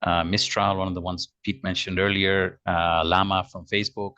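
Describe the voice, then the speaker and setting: A man's voice: deep voice, level tone, moderate pace, pushed and bright, no room sound, dull audio. A man presenting in a webinar, answering questions hesitantly with many fillers over a video call. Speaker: guest presenter.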